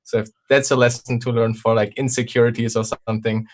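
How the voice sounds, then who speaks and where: Guest, man, mid pitch, hesitant, thoughtful, fills pauses with "like". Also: little pitch movement. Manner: hesitant, many fillers, thoughtful. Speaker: guest, video maker. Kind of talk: casual chat.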